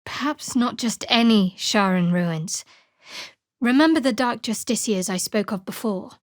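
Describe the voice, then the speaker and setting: A woman playing a guarded, sardonic young cleric of a dark goddess in a fantasy video game, speaking in a dry, measured, wry way. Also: a little high, dry recording, lightly acted.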